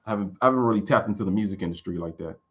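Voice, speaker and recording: A man's voice: low voice, rapid-fire, muffled recording. A man in his late thirties, a music producer chatting casually and rambling with restarts while answering viewers. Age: 30s. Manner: loose, rambling, frequent restarts. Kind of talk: casual live chat.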